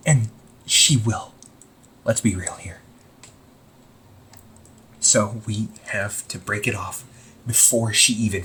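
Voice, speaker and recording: A man playing a young supervillain in an amateur audio roleplay, husky and breathy, speaking earnestly and haltingly to the listener. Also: medium pitch, fairly bright tone, exaggerated voice. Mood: incredulous, insistent. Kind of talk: amateur audio roleplay, intimate one-sided dialogue to listener.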